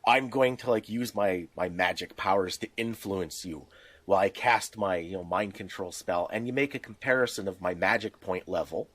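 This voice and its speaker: A man with a fairly low voice, recorded very dry. He talks casually about game mechanics and explains by acting out small examples. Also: normal speed, a bit bright, dry recording. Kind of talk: casual tabletop game design chat.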